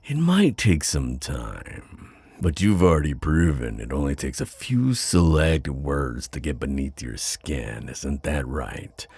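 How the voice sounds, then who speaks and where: A man playing a teasing, predatory buyer at a supernatural auction in a flirty, intimate ASMR roleplay, with a deep, breathy, taunting delivery. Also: very animated, a little mellow, dry recording, exaggerated voice. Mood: smug, taunting. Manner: slow, taunting, smooth-talking with drawn-out pauses.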